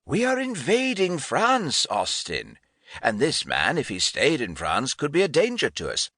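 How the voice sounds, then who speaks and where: A man's voice: a little high, huge pitch swings, unhurried, sharp tone, dry recording, studio quality, pitched above the performer's own voice, full character voice. A man voicing an irascible grey-bearded English lord and commander in an audiobook, speaking formally and with imperious emphasis. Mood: brusque, insistent.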